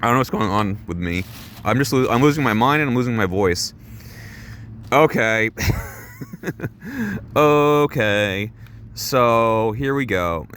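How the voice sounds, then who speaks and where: A young man doing a casual unboxing vlog for his chat, excitable and rambling, swearing and laughing at himself while losing his voice. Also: medium pitch, a little hiss or hum. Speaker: gaming YouTuber doing an unboxing.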